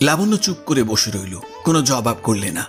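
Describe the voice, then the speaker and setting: A man's voice: medium pitch, very animated, brisk, soft background music. A man narrating a literary story from a script, as the narrator of an audio drama.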